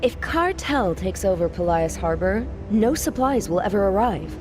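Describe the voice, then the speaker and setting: A woman's voice: mid-range voice, animated, moderate pace, music in the background, lightly acted. A young woman voicing an untested, eager telepath guide in a video game, informal and self-deprecating. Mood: urgent, eager. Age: young adult. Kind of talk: game cutscene acting.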